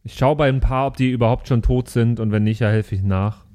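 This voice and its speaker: A man's voice: medium pitch, fairly even intonation, a little fast, dark tone. A man chatting casually as a player in an improvised tabletop role-playing game.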